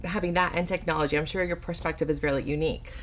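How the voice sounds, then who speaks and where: A woman hosting an interview, enthusiastic and effusive, quick to affirm her guest. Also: sits low, steady tone. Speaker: interviewer host. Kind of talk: interview chat.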